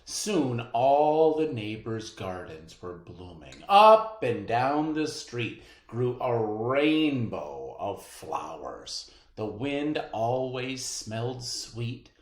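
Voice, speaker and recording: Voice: mid-range voice, extremely slow, few pauses, roomy sound. A person reading a children's picture book aloud expressively, adding chatty asides as a co-reader. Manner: expressive, emphatic storybook delivery with chatty asides.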